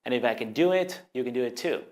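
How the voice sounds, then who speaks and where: A man's voice: mid-range voice, lively intonation, quick pace, darkish tone. A man with a Vietnamese accent, a native Vietnamese speaker, giving an earnest, encouraging English lesson straight to the viewer. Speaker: language teacher. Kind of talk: scripted video lesson.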